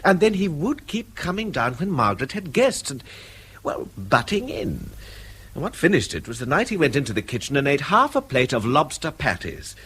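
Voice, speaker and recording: An actor playing an apologetic middle-aged uncle in a radio drama, with an English accent and a hesitant, uneasy manner. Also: male voice, a little high, big pitch swings, moderate pace, a bit bright, breathy edge, exaggerated voice. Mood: apologetic, embarrassed.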